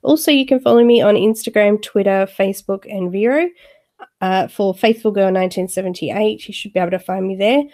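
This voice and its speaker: A woman's voice: medium pitch, fairly quick, warm tone. A woman hosting a live coloring stream, chatting with viewers and talking a little nasally from a cold. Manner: chatty, friendly, lots of fillers. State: has a cold, slightly nasal. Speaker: YouTube coloring channel host.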